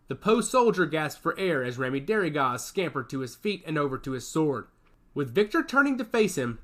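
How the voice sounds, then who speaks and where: A man's voice: a little high, fairly bright tone. A man reading a science fiction action story aloud as its narrator, with a dramatic storytelling delivery.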